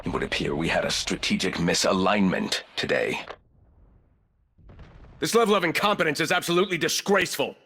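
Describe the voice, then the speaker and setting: A sharp, ruthless executive soldier. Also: male voice, on the low side, a little fast, pauses often, slightly rough, exaggerated voice. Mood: stern, disdainful. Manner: clipped, commanding, coolly condescending. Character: ruthless, arrogant corporate executive turned battlefield operator, adult man. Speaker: playable military shooter operator. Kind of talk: video game combat voice lines.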